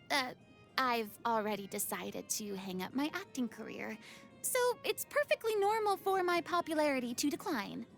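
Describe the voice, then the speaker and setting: A woman's voice: high-pitched, full character voice. A woman voicing a proud, vain yet vulnerable young former stage celebrity in a video game, with a theatrical manner and flustered hesitations. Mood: subdued. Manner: theatrical, boastful, prone to sighs and flustered hesitation. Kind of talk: video game character monologue.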